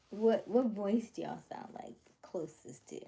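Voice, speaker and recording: A woman chatting casually with her viewers on a livestream, drifting into soft whispers and laughing often. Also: mid-range voice, creaky, a little hiss or hum. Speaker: livestream host.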